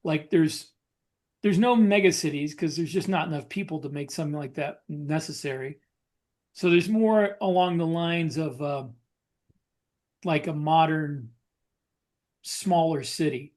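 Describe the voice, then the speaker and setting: A man co-hosting a casual podcast discussion as a writer, explaining things in a halting way. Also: on the high side, a little mellow. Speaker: podcast co-host, science fiction writer.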